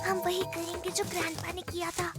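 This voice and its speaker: A woman's voice: very high voice, flat delivery, moderate pace. A woman voicing an eager, curious little zebra boy in a children's cartoon, earnest and childlike. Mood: earnest, wary. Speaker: voice actor. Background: music.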